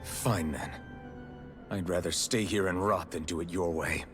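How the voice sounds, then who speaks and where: A man's voice: deep voice, a little slow, fairly bright tone, soft background music. An actor playing a stubborn, protective young male hero in a video game cutscene, talking bluntly and defiantly. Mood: angry. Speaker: game character.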